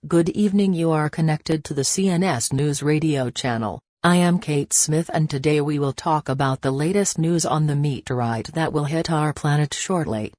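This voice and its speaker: A woman's voice: sits low, moderate pace, hardly any pauses, a little mellow. A woman presenting a scripted radio news bulletin in a formal manner.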